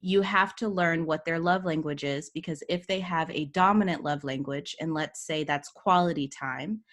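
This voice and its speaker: A woman's voice: on the low side, a bit bright. Chatty woman giving work tips. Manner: enthusiastic, laughs often, says "like" a lot. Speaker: guest, workplace psychology coach. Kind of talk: casual video-call interview. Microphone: video call.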